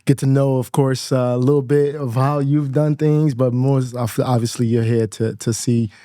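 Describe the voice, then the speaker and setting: A man in his thirties from Nigeria talks as a guest in a studio. His voice is warm and mellow, recorded dry and close. He is reflective and laughs easily, repeating words as he gathers his thoughts. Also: mid-range voice, fairly even intonation, moderate pace, no echo. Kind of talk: casual interview chat.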